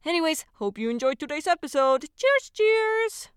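A woman's voice: high voice, big pitch swings, normal speed, background noise. A woman reading a scripted podcast announcement, with guitar music behind her.

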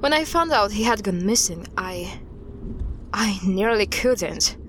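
A woman playing a guarded investigator in an audio drama, recounting an unsettling case in a halting, deliberate way. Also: mid-range voice, expressive, fairly bright tone, slight background noise. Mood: troubled. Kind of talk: audio drama dialogue, storytelling.

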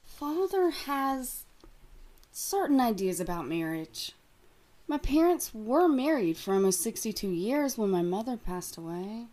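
A hesitant, secretive fiancée. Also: female voice, fairly high pitch, very animated, measured pace. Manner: gentle, hesitant, evasive. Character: devoted young woman, secretive fiancée. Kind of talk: audio drama acting.

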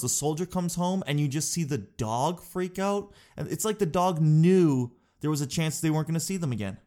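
A man's voice: on the high side, darkish tone. A man talks casually to his camera, his nose stuffed up from crying. He rambles in a chatty, self-mocking way and keeps tossing in "dude.". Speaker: vlogger.

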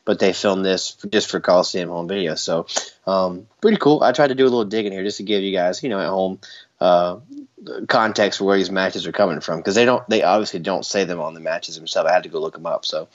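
A man's voice: low voice, fast talker, highs cut off. A man co-hosting a podcast in casual chat, rambling and joking with frequent laughs. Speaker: podcast co-host.

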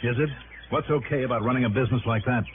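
An actor in a 1960s radio drama playing a tough, sceptical private detective with a curt, probing manner. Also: male voice, mid-range voice, racing, noisy background, lightly acted. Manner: curt, probing, hard-boiled. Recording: muffled old radio broadcast.

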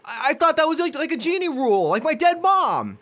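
A man's voice: very high voice, sing-song, brisk, a bit bright, heavily acted. A man doing a crude, exasperated young guy. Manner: crude, incredulous, swearing. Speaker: voice actor. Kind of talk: comedy audio drama.

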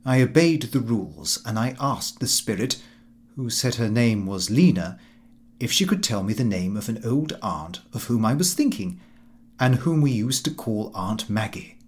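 A man's voice: mid-range voice, animated, normal speed, lightly acted. A man voicing a pompous, fussy middle-aged Victorian clerk in comic audiobook narration, prim and precise in his delivery. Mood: earnest, grudging. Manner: prim, self-important, precise.

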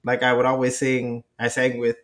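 A young man with a nasal, mid-pitched voice trades casual talk on a podcast. He runs himself down with a wry edge and keeps restarting his sentences. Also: monotone, normal speed, fairly bright tone. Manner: self-deprecating, talks in restarts. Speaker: podcast co-host. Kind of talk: casual chat.

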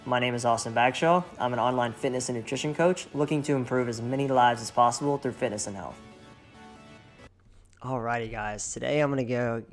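A young man with a somewhat nasal voice, hosting his own podcast and talking casually and earnestly, with frequent ums and restarts. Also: mid-range voice. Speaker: podcast host and online fitness coach. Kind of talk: casual solo podcast monologue.

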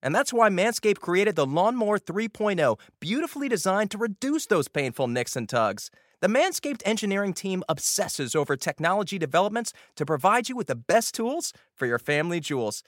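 Male ad reader, playful and jokey, high and animated. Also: a little fast, hardly any pauses, no echo, studio quality. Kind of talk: comic scripted ad read.

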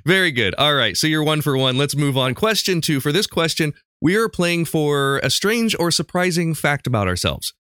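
A man's voice: a little high, sing-song, moderate pace, hardly any pauses, pushed and bright, smooth, dry recording, studio quality. A man hosting a casual quiz podcast, chatting and reading out questions. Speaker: quiz show host.

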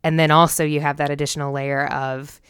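A woman's voice: low voice, level tone, fairly quick, a bit bright, smooth, dry recording, studio quality. A woman co-hosting a podcast in casual, informal chat with some swearing. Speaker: podcast co-host.

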